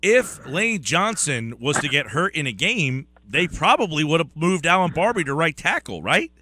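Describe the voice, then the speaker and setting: Radio host guy, bright punchy voice, chatty questions, slightly high. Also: big pitch swings, moderate pace, no room sound. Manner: conversational, leading questions. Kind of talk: sports talk radio hosting.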